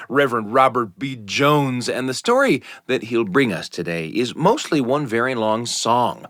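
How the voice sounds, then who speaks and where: An American man hosts a storytelling show with a swooping, animated delivery at a middle pitch. He speaks in a folksy manner with dramatic emphasis, close to the mic in a dry studio. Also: a little slow, no room sound. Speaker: podcast host. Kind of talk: warm-up narration introducing stories. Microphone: close studio microphone.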